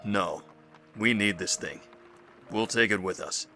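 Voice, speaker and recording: A man as a stern, bright-voiced survivor leader. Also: fairly low pitch, measured pace, soft background music. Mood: matter-of-fact. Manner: terse, decisive. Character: stern, pragmatic adult male survivor leader. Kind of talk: naturalistic amateur audio drama acting.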